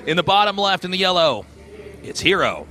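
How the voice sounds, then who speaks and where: A man's voice: high-pitched, big pitch swings, sharp tone. A man with a Midwestern accent casting a live esports match, joking and teasing his co-caster. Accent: Midwestern American, Kansas. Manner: joking, teasing banter with quick restarts. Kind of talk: live game commentary.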